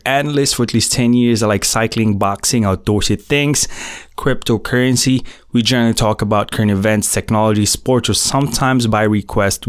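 A middle-aged Filipino man, a native Tagalog speaker, hosting his own podcast in relaxed, slangy chat with plenty of fillers. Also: mid-range voice, clear, resonant tone, no room sound, clean studio sound. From Philippines. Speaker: podcast host. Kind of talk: casual solo podcast chat.

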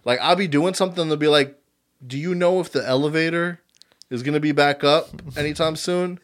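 A man's voice: a little high, a little fast. A young man co-hosting a casual podcast chat, laid-back and slangy, often trailing off with "like". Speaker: podcast co-host.

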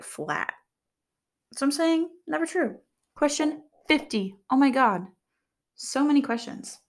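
A young woman chatting casually as she reads out quiz questions, rambling with lots of fillers and joking at her own expense. Her voice is soft and calm. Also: on the high side. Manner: chatty, rambling, filler-heavy, self-deprecating humor. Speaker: vlogger. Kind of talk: casual chat, reading quiz questions aloud.

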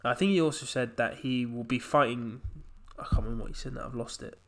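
A man's voice: medium pitch, fast, vocal fry. A young man hosting a casual podcast chat while he has a cold, talking in a chatty way. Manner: chatty, reads out quotes in a punchy voice. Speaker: podcast host.

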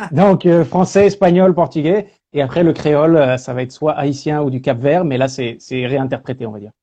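Male guest, French, easygoing, warm mellow tone, hesitant fillers. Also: a little high, normal speed, lo-fi sound. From France. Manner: relaxed, lots of hesitation fillers. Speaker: guest, speaker and singer. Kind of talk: casual interview talk.

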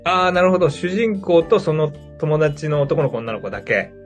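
A male co-host chats casually and agreeably, often chiming in. His voice sits a little high for a man and is lively and quick, with faint music behind it. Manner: agreeable, frequent backchannels. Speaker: podcast co-host. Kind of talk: casual chat, reacting to a co-host.

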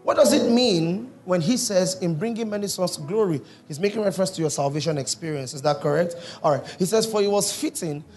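A man's voice: high-pitched, lively intonation, a little fast, hardly any pauses, darkish tone, faint music behind. A man preaching a sermon in a church, reading scripture and quizzing the congregation. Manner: teaching, asks the congregation questions. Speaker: pastor.

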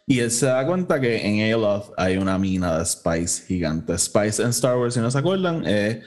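A man's voice: fairly low pitch, sing-song, moderate pace. A man with a Puerto Rican accent co-hosting a podcast in casual, informal talk, swearing and slipping between Spanish and English. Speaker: podcast co-host. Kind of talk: casual conversational review.

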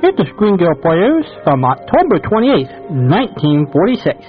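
A tinny, narrow recording with loud music carries a man hosting casually. His voice sits rather high and moves with very lively intonation. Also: normal speed. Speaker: radio show host.

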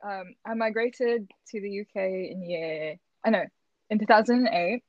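A woman's voice: medium pitch, moderate pace, dry recording, highs cut off. A woman from the Philippines chatting casually on a podcast, hesitant and giggly with many fillers. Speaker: podcast guest. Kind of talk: casual chat.

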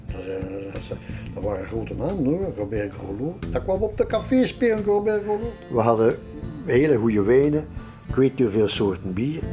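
Older male interviewee, Flemish accent, lively anecdotal delivery, faint music underneath. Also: mid-range voice. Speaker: interview guest recounting his past. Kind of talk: informal spoken reminiscence.

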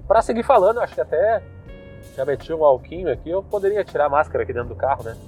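A man's voice: fairly high pitch, expressive, fast talker, darkish tone, faint music behind. A young man from southern Brazil with a Gaúcho accent, a podcast host rambling casually with loose slangy talk and many fillers while sitting in his car. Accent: Gaúcho Brazilian Portuguese. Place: inside a car.

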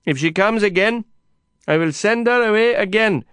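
A man voicing a proud, desperate male prisoner, pleading loudly and insistently through a fence. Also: high voice, animated, normal speed, clear, resonant tone, no echo, pitched above the performer's own voice. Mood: defiant. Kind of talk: audiobook character voice.

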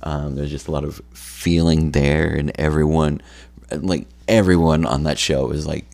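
Male podcast host, tired and phlegmy, gravelly fry, unhurried loose delivery. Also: steady tone, a little mellow, dry recording. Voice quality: gravelly, low voice. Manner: slow, rambling with many ums and restarts. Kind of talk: solo podcast chat.

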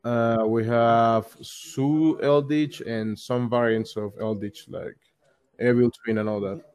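A man's voice: medium pitch, steady tone, slow, a little mellow, smooth. Young man, loose rambling talk. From Colombia. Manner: loose, repetitive phrasing with many likes and restarts. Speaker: guest, card game store player. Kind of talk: casual interview over call.

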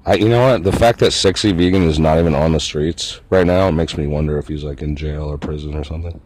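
A man's voice: rumbling low, steady tone, fast talker, a little mellow, slight background noise, poor audio. A man vlogging in a meandering, profane, self-mocking monologue. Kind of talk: rambling casual monologue.

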